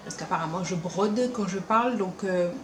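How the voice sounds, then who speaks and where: A woman's voice: lowish voice, roomy sound, slight background noise. Chatty young woman, French Caribbean lilt. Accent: French Caribbean, mixed with Paris French. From France, born in Paris, raised also in Martinique. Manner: chatty, animated, quick asides and acted-out quotes. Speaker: beauty vlogger. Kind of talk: casual chat to camera. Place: room at home.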